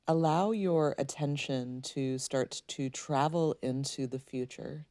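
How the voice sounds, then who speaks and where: A woman leading a guided meditation, speaking in a soothing, gently instructing way with unhurried pauses. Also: extremely low pitch, no echo, studio quality.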